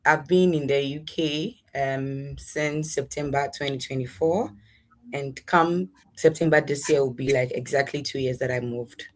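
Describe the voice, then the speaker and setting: A woman's voice: a bass voice, steady tone, unhurried, a little hiss or hum. A young adult woman with a Ghanaian accent, giving conversational answers as an interview guest. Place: in a room at home, online interview.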